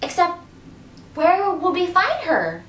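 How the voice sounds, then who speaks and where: A woman's voice: very high-pitched, very animated, takes it slowly, fairly bright tone, voice pushed up, heavily acted. A woman voicing an eager, helpful male duck in a children's story, with a cheerful manner. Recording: roomy echo, distant mic.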